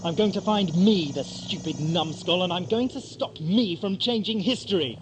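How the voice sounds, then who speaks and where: An actor playing an eccentric, theatrical time traveller in an audio drama, brisk and self-mocking. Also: male voice, sits high, background noise, close to an everyday voice. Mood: excited, whimsical. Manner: theatrical, expounding, exclamatory. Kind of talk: 1980s amateur audio drama acting.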